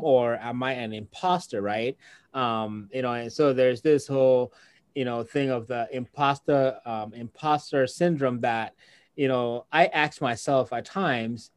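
A man's voice: medium pitch, no echo. Reflective man interviewing, dry sound. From outside the United States. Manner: reflective, many fillers, acts out inner thoughts. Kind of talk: conversational interview.

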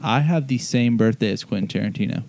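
A laid-back young man hosts the podcast with short, easy replies, moving the talk along to the next segment. His voice sits at a middle pitch. He never pushes his tone. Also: fast talker, gravelly, a little mellow, no room sound. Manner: laid-back, brief replies, steers the show. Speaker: podcast host. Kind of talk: casual chat.

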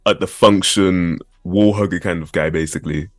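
A man's voice: deep voice, fairly even intonation, moderate pace, no echo, clean studio sound. A man hosting a casual podcast interview, chatty and laid-back with plenty of slang. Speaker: podcast host.

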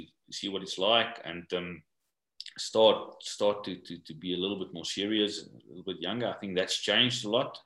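An older man from South Africa, English not his first language, answering interview questions in a halting way and often repeating words. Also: lowish voice, fairly bright tone, reverberant. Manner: halting, repeats words while searching for them. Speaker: guest, rugby coach. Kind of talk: podcast interview.

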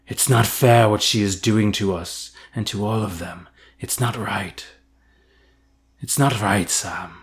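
A man voicing a hobbit gentleman, aggrieved and brooding, protesting injustice in a fantasy audio story. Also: fairly low pitch, slow. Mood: hushed indignation.

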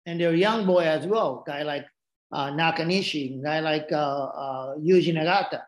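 A man's voice: fairly high pitch, normal speed, roomy sound. A man whose first language is Japanese, speaking English with a Japanese accent and telling stories in a casual, rambling way with lots of restarts. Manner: rambling, frequent restarts and asides. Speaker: podcast guest. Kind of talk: casual storytelling.

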